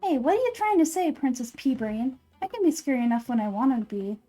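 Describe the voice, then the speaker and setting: A woman's voice: high voice, very animated, fairly quick, dark tone, soft background music. A woman voicing a shrewd, cocky female merchant in a video game, with sly, boastful sales patter. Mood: cocky, probing.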